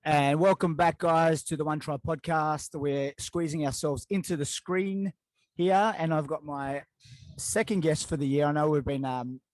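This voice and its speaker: A man hosting a casual podcast interview, relaxed and rambling with lots of fillers. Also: a little high, unhurried, no room sound. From Australia. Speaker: podcast host. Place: living in Dubai.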